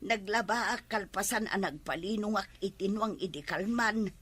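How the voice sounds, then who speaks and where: A woman's voice: medium pitch, moderate pace, fairly bright tone, husky. A woman with an Ilocano accent acting an older, nagging, long-suffering village wife in a comedy drama, given to scolding and exclaiming. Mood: weary, unwell.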